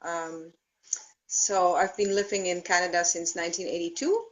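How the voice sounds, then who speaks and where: A woman from the Netherlands with a Dutch accent, a guest speaking on a video call and explaining her field step by step, with frequent pauses. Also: lowish voice, fairly even intonation, low-quality recording. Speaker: thermography practitioner, guest. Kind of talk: explanatory interview.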